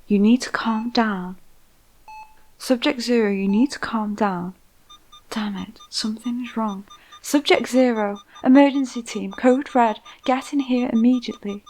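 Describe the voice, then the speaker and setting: Slow whispery female vampire scientist, acted by a woman. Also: on the high side, a little mellow, a little hiss or hum, poor audio. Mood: urgent, alarmed. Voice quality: whispery, breathy. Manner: slow, soothing, deliberate. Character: calm, clinical female vampire scientist overseeing a sinister experiment. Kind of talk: ASMR roleplay.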